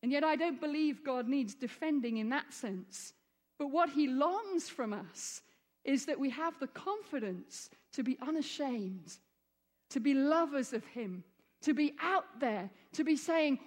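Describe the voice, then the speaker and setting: Female preacher raised in Egypt, high voice, earnest sermon delivery building to fervent peaks, big hall reverb. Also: lively intonation, measured pace. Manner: earnest, rising to fervent emphasis. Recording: long reverb of a large hall.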